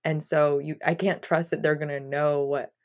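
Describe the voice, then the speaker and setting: A woman's voice: low voice, flat delivery, normal speed, darkish tone. A woman in a casual podcast discussion, speaking in a matter-of-fact, conversational way. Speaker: podcast host.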